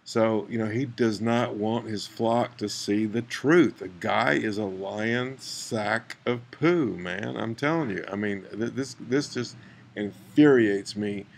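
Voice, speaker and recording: A man giving unscripted screen-recording commentary, halting and rambling, with an aggrieved manner. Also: on the low side. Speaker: video maker, commentator. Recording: clear background noise.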